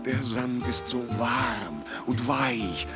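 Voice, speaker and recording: An actor playing a smitten macho lover in a kitschy radio drama romance, languishing and full of flattery. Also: male voice, medium pitch, slow, close to an everyday voice. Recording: radio drama over loud music.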